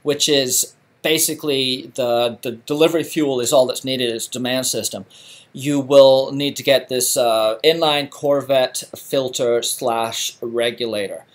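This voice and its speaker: Middle-aged man from England, casual live-stream host, relaxed chatty delivery with fillers. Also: medium pitch, unhurried. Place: home garage.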